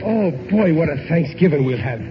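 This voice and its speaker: A man's voice: a little high, animated, moderate pace, over music, lightly acted. An American actor playing a sentimental, blustering, dim working-class family man with ungrammatical speech in a radio sitcom. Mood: enthusiastic. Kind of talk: 1940s radio sitcom acting. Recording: noisy, muffled vintage radio broadcast.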